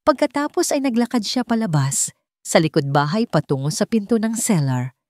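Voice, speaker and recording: A woman's voice: mid-range voice, very animated, moderate pace, darkish tone, no room sound, clean studio sound. A woman narrator reading a scripted, dramatic love story.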